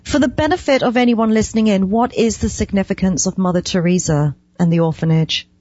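A woman's voice: mid-range voice, brisk, darkish tone. A woman hosting an interview show, speaking conversationally in an appreciative, encouraging manner on slightly lo-fi audio.

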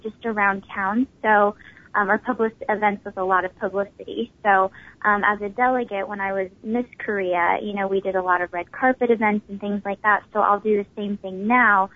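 A woman's voice: medium pitch, steady tone, moderate pace. A woman in her twenties with a small, tiny voice, answering interview questions politely with many ums. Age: 20s. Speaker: pageant winner guest. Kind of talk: phone interview. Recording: tinny phone line.

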